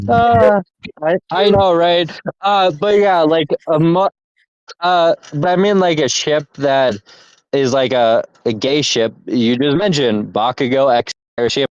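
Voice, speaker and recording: A man's voice: a little high, takes it slowly, smooth, dry recording. A young man in casual chat as podcast co-host, rambling with restarts and laughter. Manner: rambling, laughing, self-interrupting. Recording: dull lo-fi mic.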